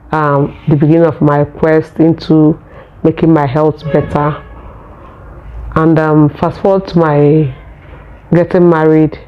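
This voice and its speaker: A Nigerian woman who speaks English as a second language, telling her own story in a vlog in an earnest, unhurried way. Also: sits low, muffled recording. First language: Nigerian local language. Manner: earnest, unhurried, searching for words. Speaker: vlogger.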